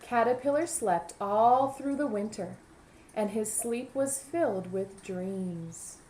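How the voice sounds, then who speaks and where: A woman's voice: mid-range voice, slow pace, a little mellow, a little hiss or hum, poor audio. A woman, a kindergarten teacher, reading a children's story aloud and adding teaching asides.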